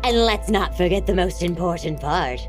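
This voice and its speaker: An actress playing an imperious, easily exasperated villainous empress in a comedic fantasy audio drama, haughty, theatrical and mercurial. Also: female voice, fairly low pitch, normal speed, soft background music, close to an everyday voice. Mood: scheming.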